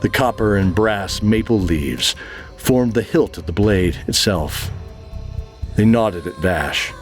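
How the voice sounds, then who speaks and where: A man's voice: lowish voice, expressive, slow pace, faint music behind. A man narrating a fantasy audio drama in a scripted, dramatic storytelling style.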